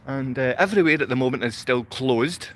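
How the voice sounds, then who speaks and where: A Glaswegian man speaks off the cuff to a livestream audience. His pitch sits in an average male range. He rambles, circles back on the same phrases and keeps throwing out rhetorical questions. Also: a little fast, fairly bright tone. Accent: Glaswegian Scottish. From Glasgow, Scotland. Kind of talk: unscripted livestream monologue to viewers.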